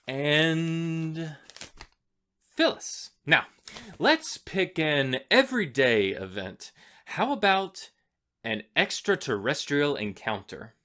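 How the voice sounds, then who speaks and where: Animated, bright-voiced male radio host. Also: on the high side. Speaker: radio play festival host. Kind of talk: scripted radio announcing with theatrical flair.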